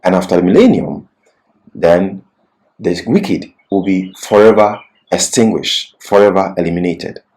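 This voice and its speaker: A man's voice: sits low, animated, measured pace, darkish tone, rough recording. A man giving an expository Bible lecture as a teacher, didactic and emphatic, posing questions and answering them himself.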